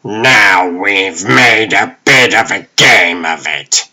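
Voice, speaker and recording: Casting: male actor as genteel, gloating comic-book gangster, low pitch, husky, wry drawl. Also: slow pace, sharp tone, full character voice. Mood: smug, amused. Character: calm, cocky penguin-like male crime boss, gloating. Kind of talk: comic dub acting.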